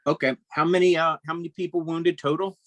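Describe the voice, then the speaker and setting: A man's voice: on the high side, fairly even intonation, quick pace, fairly bright tone. A man talking casually at the table as a player in a role-playing game.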